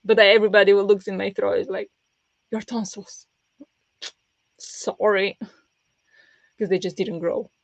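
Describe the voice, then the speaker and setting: Woman rambling on a book stream, pressed kinda raspy voice, dull lo-fi sound. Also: medium pitch, flat delivery, measured pace, long pauses, no echo. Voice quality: pressed voice that turns raspy easily. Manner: rambling, with many fillers and restarts. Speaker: book streamer hosting reading sprints. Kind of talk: casual livestream chat with viewers.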